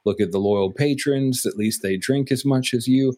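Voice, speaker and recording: A man's voice: medium pitch, moderate pace, darkish tone. A man co-hosting a casual beer podcast, rambling and chatty with lots of fillers and restarts. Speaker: podcast co-host.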